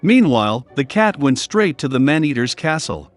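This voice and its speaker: Lively male fairy-tale narrator. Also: mid-range voice, normal speed. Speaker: storyteller. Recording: soft music behind the voice. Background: background music.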